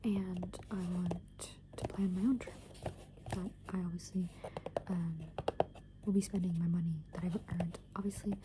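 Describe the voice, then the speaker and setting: Woman, 20s, ASMR creator. Hushed whispered rambling, casual and meandering, with clear background noise. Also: fairly low pitch, little pitch movement, measured pace. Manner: casual, meandering, thinking aloud. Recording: clearly noisy background hiss and ambience.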